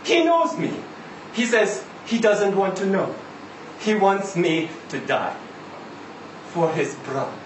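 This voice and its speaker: Man acting a high-pitched, weary truck driver, echoey room. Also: wildly swooping pitch, heavily acted. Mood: grim storytelling. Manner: halting, rambling, foul-mouthed, repeatedly seeking agreement. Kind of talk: live dramatic monologue reading of a short story. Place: live literary event in an indoor venue. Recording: echoey room, distant mic, faint noise.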